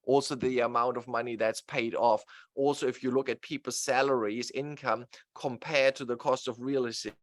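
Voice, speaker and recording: A man's voice: mid-range voice, steady tone, moderate pace, no echo. A man with a German accent, a guest expert in an interview, explaining slides at length in a talkative way with many fillers. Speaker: guest real estate expert.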